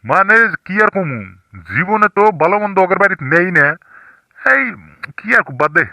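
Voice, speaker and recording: A woman voicing a gruff grown man in a cartoon, speaking an in a blunt, mocking way. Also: on the low side, lively intonation, moderate pace, sharp tone, no echo, voice pushed down. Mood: scornful.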